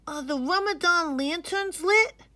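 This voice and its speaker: A woman's voice: extremely high pitch, a little slow, pushed and bright, no echo, distant mic, pitched above the performer's own voice, full character voice. A woman voicing a timid, eager young boy in a read-aloud storybook. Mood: excited.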